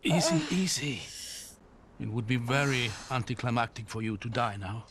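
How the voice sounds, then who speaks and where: An actor playing a composed, caring, gently wry older ally in a video game cutscene, speaking in a measured way. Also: male voice, mid-range voice, lively intonation, slight background noise, lightly acted. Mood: gently reassuring.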